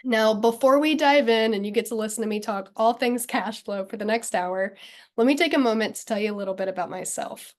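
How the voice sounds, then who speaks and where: A woman's voice: a little high, brisk. A woman in her thirties from Texas, giving an upbeat, organized webinar presentation. She has a cold, so she sounds slightly nasal. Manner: upbeat and friendly, clear and organized.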